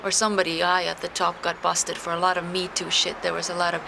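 A woman's voice: fairly low pitch, monotone, fast, vocal fry. Blunt Finland-Swedish woman on a noisy balcony. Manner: candid, rambling, blunt with swearing. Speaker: vlogger. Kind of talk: casual talking-head vlog. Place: outdoor balcony of an apartment block. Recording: noisy outdoor street ambience.

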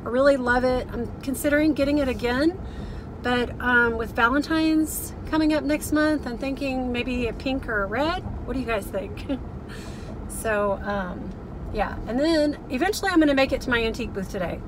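A woman's voice: high-pitched, moderate pace, background noise. A middle-aged woman chatting casually to viewers in a rambling, chatty way, recorded inside a car. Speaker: vlogger, thrift reseller.

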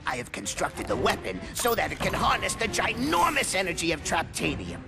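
Eccentric evil inventor, game cartoon: fawning, theatrical, wildly swooping high voice. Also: male voice, huge pitch swings, brisk, music in the background, full character voice. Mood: gleeful boasting. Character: eccentric, gleeful villainous male mad scientist. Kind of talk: animated game villain acting.

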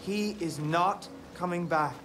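Game role: resentful young male leader and son, high-pitched, indignant, deliberate pacing, dramatic. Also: fairly bright tone, slight background noise. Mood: stern. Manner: declamatory, indignant. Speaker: actor voicing a game character. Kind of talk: dramatic game cutscene acting. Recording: music under the voice. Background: game music.

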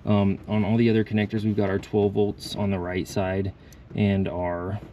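Chatty low-voiced mechanic venting. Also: male voice, level tone, normal speed, highs cut off, slight background noise. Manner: chatty, frustrated venting, explains repairs step by step. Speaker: mobile mechanic. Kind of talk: casual vlog talking to camera.

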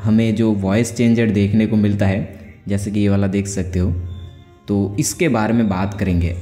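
A man's voice: on the low side, warm tone. A young man giving a casual, conversational tech tutorial, explaining step by step. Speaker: tech video host. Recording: echoey room with faint music. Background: faint music.

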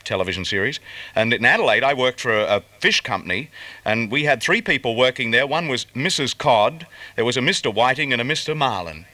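A man's voice: mid-range voice, lively intonation, brisk, sharp tone, dry recording. An Australian man presenting a 1970s commercial radio breakfast show as its host.

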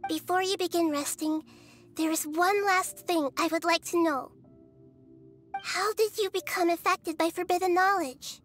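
High-voiced, gentle child goddess. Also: female voice, measured pace, faint music behind. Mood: earnest, composed. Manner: calm, earnest, carefully articulated. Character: gentle, wise, childlike little goddess girl. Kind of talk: scripted video game dialogue.

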